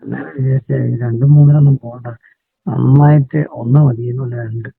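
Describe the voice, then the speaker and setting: A man chatting casually and intimately on a phone call, with a husky voice. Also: medium pitch, steady tone, a little fast. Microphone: telephone call. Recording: tinny phone call, echoey.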